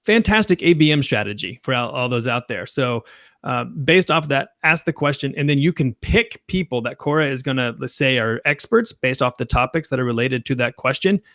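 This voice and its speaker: A man's voice: medium pitch, animated, fairly quick, fairly bright tone, no echo, studio quality. A man speaking as a podcast guest, enthusiastic and full of fillers as he explains. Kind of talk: casual explanation.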